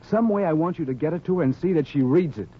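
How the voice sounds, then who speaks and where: A man's voice: fairly high pitch, quick pace, lightly acted. A man playing an earnest, desperate husband, pleading urgently in a 1940s radio comedy. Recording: muffled, hissy 1940s radio recording.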